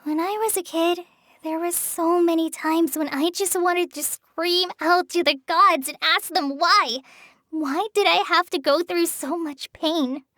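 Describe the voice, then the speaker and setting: A woman's voice: extremely high pitch, no echo, studio quality, full character voice. A woman voicing a shy, earnest teenage girl in a video game, hesitant and apologetic in the way she talks. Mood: wistful. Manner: hesitant, apologetic, soft-hearted, halting with stammers. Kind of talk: video game character voice lines.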